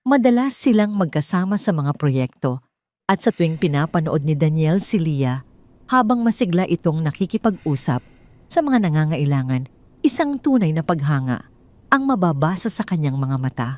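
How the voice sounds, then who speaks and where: A woman with a Filipino accent narrating a scripted dramatic story in measured, sentimental phrasing. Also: deep voice, very animated, darkish tone, no echo.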